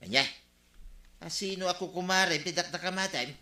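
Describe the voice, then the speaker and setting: A man's voice: high-pitched, brisk, bright, forceful tone. An actor playing an ambitious, conniving village man in a Filipino comedy radio drama, with an Ilocano accent. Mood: challenging. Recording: roomy echo, music underneath.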